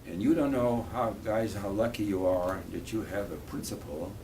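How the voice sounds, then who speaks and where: Deep-voiced older man. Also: warm tone. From Poland. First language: Polish. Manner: halting, restarts phrases, earnest. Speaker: guest speaker. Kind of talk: talk and Q&A with schoolchildren. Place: school room. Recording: distant mic with background noise.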